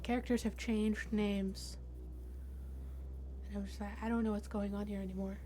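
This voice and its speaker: A woman's voice: mid-range voice, level tone, moderate pace, mellow, a little hiss or hum. A woman playing a tabletop roleplaying game, bantering casually in a bossy, joking manner.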